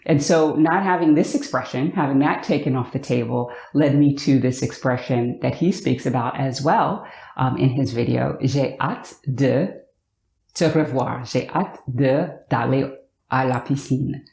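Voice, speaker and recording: An upbeat, expressive American woman from Virginia, talking to the camera in a vlog and drawing out words for emphasis. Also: a bass voice, unhurried, vocal fry. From Virginia, USA. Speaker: vlogger. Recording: echoey room.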